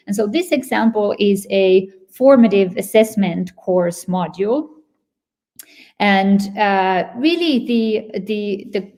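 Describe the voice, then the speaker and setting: Finnish lady walking through examples in a webinar, middle pitch, stops n searches for words a lot. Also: darkish tone. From Finland. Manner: explanatory, searches for words mid-sentence. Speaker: company presenter. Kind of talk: webinar presentation.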